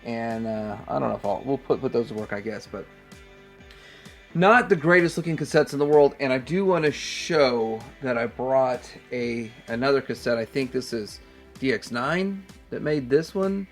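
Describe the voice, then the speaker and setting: A man's voice: medium pitch, lively intonation, moderate pace, faint music behind. A man hosting a casual hands-on toy review, rambling and thinking aloud as he goes. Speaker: toy review channel host.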